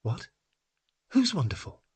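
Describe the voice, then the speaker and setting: A man's voice: a little high, huge pitch swings, unhurried, darkish tone, breathy edge, dry recording, full character voice. A man voicing an agitated, demanding woman in a literary story, with an urgent, complaining manner. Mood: confused, complaining.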